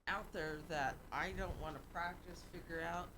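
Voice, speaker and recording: A middle-aged woman, a guest, telling a story in an animated, emphatic way. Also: lowish voice, normal speed, a crowd in the background. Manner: animated, emphatic, quotes voices in her stories. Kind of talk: casual storytelling.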